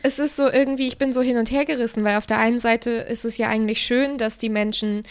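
Woman cohost on a podcast, thinks out loud, lots of little pauses. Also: a little high, fairly even intonation, clear, resonant tone. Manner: thoughtful, hesitant with fillers. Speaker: podcast co-host. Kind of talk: reflective podcast chat. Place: living in London.

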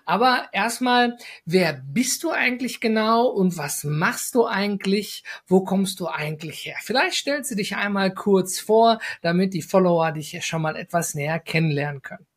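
A man's voice: high-pitched, animated, normal speed. A man in his thirties hosting a casual business podcast interview, talking in loose, rambling questions with many fillers. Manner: chatty, filler-heavy, loose run-on questions. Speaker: podcast host.

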